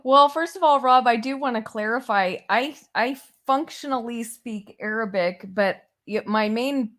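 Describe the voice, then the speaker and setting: A woman's voice: on the high side, a little slow, fairly bright tone. Frank woman, Persian native speaker. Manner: frank, plain-spoken, with fillers. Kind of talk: casual discussion.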